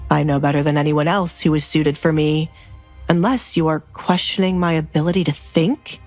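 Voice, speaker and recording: A woman's voice: sits low, fairly even intonation, normal speed, a little mellow, voice pushed down, natural voice. A woman voicing a curt, imperious nobleman, a duke in a romance audio drama. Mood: commanding. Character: commanding, blunt young male duke. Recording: background music under the voice.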